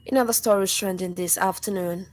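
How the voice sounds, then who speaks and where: A woman with a Zambian accent reads a scripted radio news bulletin in a formal, measured manner. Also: medium pitch, fairly even intonation. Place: radio studio.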